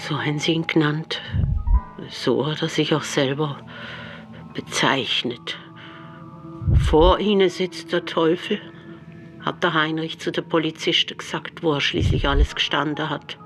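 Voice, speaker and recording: Grave, higher-voiced man. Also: a little slow, long pauses, a bit bright. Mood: grave, reflective. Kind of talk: radio documentary drama acting. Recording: music behind the voice.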